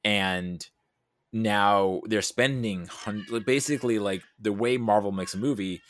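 A man talking casually about films as a podcast guest, thinking aloud in a halting, rambling way. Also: fairly low pitch, a bit bright, no room sound, clean studio sound. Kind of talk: casual film discussion.

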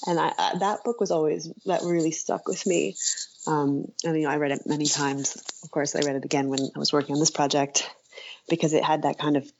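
A woman speaking as an interview guest, hesitant and halting as she thinks aloud in casual conversation. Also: low-pitched, fairly even intonation, darkish tone, lo-fi sound, slight background noise. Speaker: interview guest, writer and author.

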